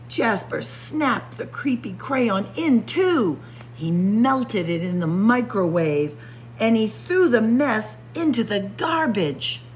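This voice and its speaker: A woman reading a picture book aloud in a dramatic, suspenseful story-time style, sometimes hushed for emphasis. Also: medium pitch, slight background noise. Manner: suspenseful, expressive, drops to hushed whispers for emphasis. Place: indoor library room with bookshelves and windows.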